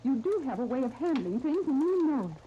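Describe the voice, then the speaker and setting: A headstrong young daughter in a 1930s radio soap. Her voice is high and swings widely in pitch, earnest and defensive. It comes through a muffled, noisy old disc recording. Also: sing-song, brisk, warm tone.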